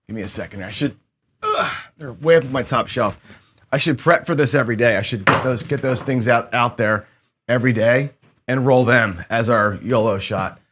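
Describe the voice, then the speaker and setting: A man's voice: mid-range voice, normal speed, fairly bright tone, slight background noise. A man hosting a casual craps session, chatting to viewers with frequent restarts as he plays. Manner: chatty, self-talking, stammering restarts. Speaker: casino gaming host. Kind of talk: casual chat while playing craps.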